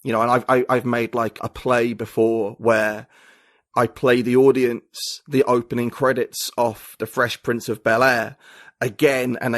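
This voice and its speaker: A British man, a writer and podcast guest, talking in a reflective, halting way with many restarts. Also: mid-range voice, a bit bright, no room sound, clean studio sound. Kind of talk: casual conversation.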